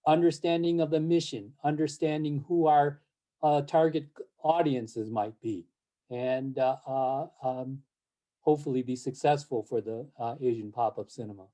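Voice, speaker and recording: An older man with a dark, mellow tone speaks on a video call. He talks slowly and haltingly, filling pauses with "uh" and "um" and restarting his sentences. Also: mid-range voice, fairly even intonation. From born in Japan, raised in the United States. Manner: halting, many fillers and restarts. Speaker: interview guest, film series board president. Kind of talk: casual interview over video call.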